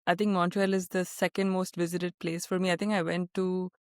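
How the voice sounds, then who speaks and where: Female podcast host, low and level delivery, dry close sound, easygoing and filler-heavy. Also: level tone, fairly quick, dry recording. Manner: warm interviewer, many fillers, relates to the guest. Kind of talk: casual interview chat.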